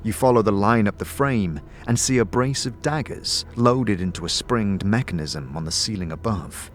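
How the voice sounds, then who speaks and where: A man's voice: on the low side, moderate pace, faint music behind. A man narrating a fantasy audio drama in the second person, in a descriptive, dramatic storytelling manner.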